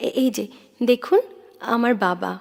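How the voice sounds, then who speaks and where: A woman acting an intense, moody younger sister in a Bengali audio drama, an ardent reader speaking in halting, fervent repetitions. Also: a little high, big pitch swings, creaky, heavily acted. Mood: eager. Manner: breathless, halting, repeats words with fervour.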